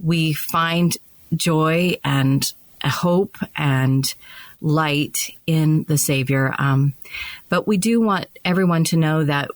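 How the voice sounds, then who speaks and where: A woman's voice: low-pitched, takes it slowly, no echo. Playful woman in her fifties, podcast co-host. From Montana, USA. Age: 50s. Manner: playful, self-deprecating, animated. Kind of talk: casual podcast chat.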